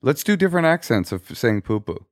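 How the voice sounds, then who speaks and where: A man co-hosting a comedy podcast, riffing and sarcastic, his pitch swinging around animatedly. Close, dry studio sound. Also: very animated, brisk, no echo. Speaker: podcast co-host. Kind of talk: comedic casual chat.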